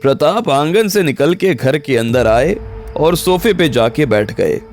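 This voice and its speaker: A man's voice: a little high, expressive, unhurried, a little mellow, slight background noise. A man narrating a scripted audio story in the role of storyteller. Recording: background music under the voice.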